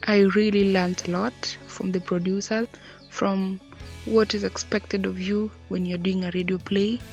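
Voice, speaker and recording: A woman's voice: mid-range voice, level tone, a little slow, darkish tone, soft background music. A young woman speaking in an interview as a student actress. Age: young adult.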